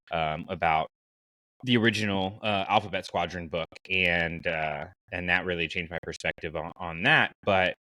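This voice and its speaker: A man's voice: deep voice, bright, forceful tone, dry recording, rough recording. A man hosting a podcast in a casual, informal and enthusiastic chatty style. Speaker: podcast host.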